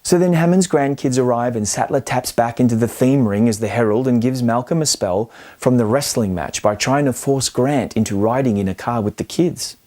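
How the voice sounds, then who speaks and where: Man narrating film analysis, softened mellow tone. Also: mid-range voice, normal speed, hardly any pauses. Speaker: film commentary host. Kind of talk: scripted video-essay narration.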